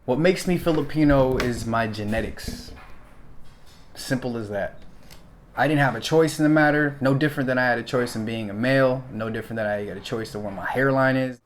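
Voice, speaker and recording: A man's voice: mid-range voice, a little fast, a little hiss or hum. A man speaking bluntly and matter-of-factly about his identity in an interview. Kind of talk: personal interview.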